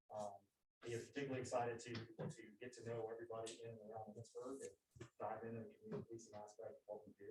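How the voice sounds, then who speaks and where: Sheriff's sergeant guy speaking formally, flat voice, echoey room, sounds far from mic. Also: medium pitch. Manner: formal, measured, with fillers. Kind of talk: formal public report. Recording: echoey room, distant mic, faint noise.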